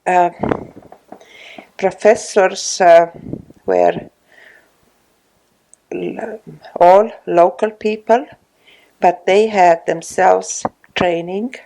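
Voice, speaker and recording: A woman in her sixties, from Estonia, speaking English with an Estonian accent in an oral history interview at her home, slow and hesitant with many pauses. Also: fairly low pitch, animated, gravelly, dark tone, low-quality recording. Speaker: retired social worker interviewee.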